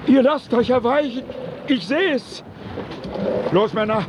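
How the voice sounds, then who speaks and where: A man's voice: very high-pitched, slow. An actor playing a desperate ship's captain and father, imploring with raw emotion in a radio drama. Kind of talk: theatrical radio drama acting. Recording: clear wind and water noise. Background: wind, water, boat sounds.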